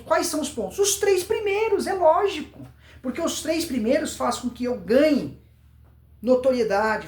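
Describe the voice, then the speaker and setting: A Brazilian man giving an informal public speaking lesson, emphatic, repeating words and answering his own questions. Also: very high voice. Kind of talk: informal instructional video to camera. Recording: roomy, echoing voice recording.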